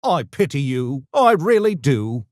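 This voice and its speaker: Pompous genteel gremlin for a game: unhurried, theatrical, extremely swooping intonation, mischievous politeness. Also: male voice, on the high side, big pitch swings, no room sound, clean studio sound. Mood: smug, taunting. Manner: posh, pompous, theatrical, mock-polite diction. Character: urbane genteel mischievous gremlin, a genetically engineered intellectual creature. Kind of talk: video game character voice lines.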